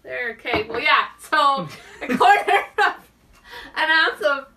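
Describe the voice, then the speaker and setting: Bright-voiced woman, slightly off-mic. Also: sits high, animated, slow pace. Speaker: talk show co-host. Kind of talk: casual chat, demonstrating a drink recipe. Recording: voice some distance from the mic.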